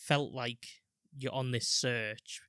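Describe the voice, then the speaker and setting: A man's voice: medium pitch, fairly even intonation, takes it slowly, bright, forceful tone, clear, resonant tone, no echo. A man co-hosting a podcast, chatting casually and joking with the guest. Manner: jokey, laughs easily. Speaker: podcast co-host. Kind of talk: casual interview chat.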